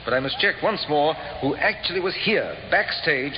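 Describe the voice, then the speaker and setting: Male actor as courteous, probing detective inspector, lively intonation, formal radio-drama style, echoing vintage recording. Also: a little high, normal speed, highs cut off, soft background music, close to an everyday voice. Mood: courteous, shrewd. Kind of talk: 1950s radio drama acting.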